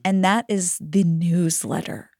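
A woman's voice: fairly low pitch, fairly even intonation, unhurried, darkish tone, dry recording, clean studio sound. A middle-aged woman co-hosting a podcast in a friendly, informal, conversational way. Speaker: podcast co-host.

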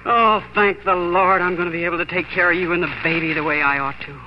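An American actor playing an optimistic young pioneer farmer and husband, earnest and dramatic in 1940s radio style. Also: male voice, high-pitched, steady tone, fast, background noise, close to an everyday voice. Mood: affectionate. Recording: muffled 1940s radio recording with music.

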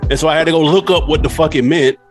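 A man's voice: on the high side, fairly even intonation, very fast. A middle-aged American man from Nashville, a podcast guest telling stories in a candid, slangy, casual way. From Nashville, USA. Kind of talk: casual storytelling chat. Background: music.

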